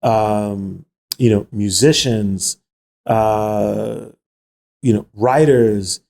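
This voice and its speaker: A man's voice: lowish voice, steady tone, drawn-out words, gravelly, darkish tone. A man hosting a podcast interview, asking questions in an easygoing way. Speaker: podcast host.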